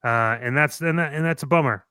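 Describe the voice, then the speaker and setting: A man's voice: fairly high pitch, brisk, sharp tone, clean tone, no echo, studio quality. A man co-hosting a show, giving casual opinions in a blunt, emphatic way with some swearing. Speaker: co-host of a toy collecting show.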